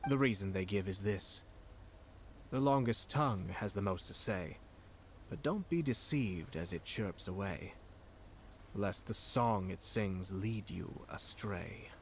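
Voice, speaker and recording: A man voicing a calm young noble commissioner in an anime-style game, measured and eloquent, politely condescending. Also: fairly low pitch, a little hiss or hum. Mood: calm, pointed.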